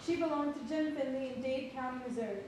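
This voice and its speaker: High-voiced actress as a freed orphaned daughter, echoing. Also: female voice, fast talker, natural voice. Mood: plaintive, earnest. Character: formerly enslaved person sold away from mother as child. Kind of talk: dramatic reading of a historical newspaper ad. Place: theatre or hall, recorded from a distance. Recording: echoey hall from far off, faint noise.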